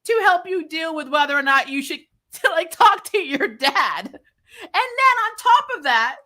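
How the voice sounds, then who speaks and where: A woman hosting a recap show, giving casual, opinionated TV review chat in an animated, blunt, exasperated way with plenty of swearing. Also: very high-pitched, measured pace. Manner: animated, exasperated, blunt and profane, mock-scolding; laughs often while talking.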